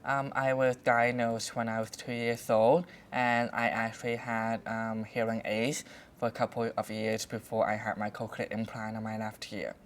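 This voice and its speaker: A man's voice: lowish voice, little pitch movement, normal speed, slight background noise. A man in his twenties giving personal testimony as an interviewee.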